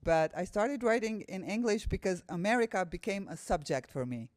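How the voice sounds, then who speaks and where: A woman's voice: mid-range voice, expressive, moderate pace. Albanian-accented woman, a poet speaking publicly. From Kosovo. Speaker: visiting poet, guest speaker. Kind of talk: public talk and poetry reading. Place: room with a live audience.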